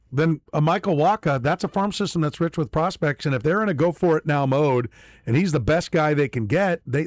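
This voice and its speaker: Playful sports radio host, dry close voice. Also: male voice, on the high side, no room sound. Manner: rambling, self-mocking, playful with guest. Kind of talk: casual sports radio talk.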